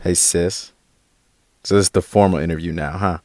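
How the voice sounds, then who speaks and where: A defiant eighteen-year-old guy played by an actor in an audio drama. His voice is on the low side, cocky and street-casual, always ready to argue back like a stubborn kid brother. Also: fairly even intonation, normal speed, noisy background, close to an everyday voice. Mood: sullen, cocky. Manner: cocky, informal, argumentative.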